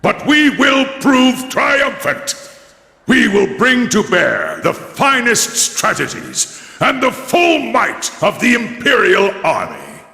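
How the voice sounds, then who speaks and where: An actor playing a grave, commanding imperial leader who gives a solemn, grandiose wartime oration in a video game. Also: male voice, high voice, huge pitch swings, slow, creaky, sharp tone, full character voice. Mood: triumphant rallying. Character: grave, commanding male imperial leader rallying a nation for war. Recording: echoey large hall with long reverb.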